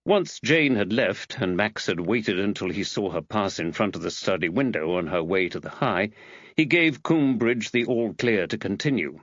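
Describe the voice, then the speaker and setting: A man's voice: on the low side, animated, moderate pace, fairly bright tone, no echo, muffled recording. A man narrating a mystery audiobook from a script.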